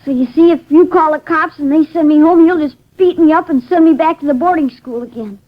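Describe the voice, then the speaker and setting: A woman's voice: high-pitched, a little fast, lightly acted. A woman playing a guarded, plaintive, streetwise nine-year-old runaway boy in a 1950s radio drama. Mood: wary, streetwise. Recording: muffled vintage 1950s radio recording.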